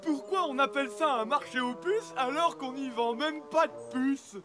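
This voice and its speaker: An actor voicing a slow-witted, carefree young colt sidekick, literal and artless, in a dubbed children's cartoon. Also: high voice, music in the background, lightly acted. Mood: musing.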